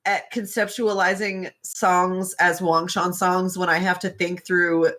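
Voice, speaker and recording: A woman's voice: fairly low pitch, level tone, measured pace, a bit bright. A woman chatting casually on a fan podcast, swearing freely and joking with emphasis. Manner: profane, jokey, emphatic. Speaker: podcast panelist.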